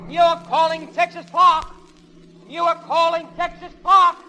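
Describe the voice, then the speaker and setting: A man's voice: extremely high pitch, level tone, measured pace, sharp tone, exaggerated voice. A man playing a dutiful, urgent airfield radio operator in a 1930s-style radio drama, making clipped, insistent calls.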